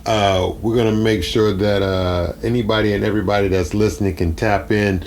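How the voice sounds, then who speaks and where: A man hosting a casual radio interview in a halting, deliberate, appreciative manner, sometimes repeating words. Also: on the low side, steady tone, darkish tone, a little hiss or hum. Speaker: radio show host.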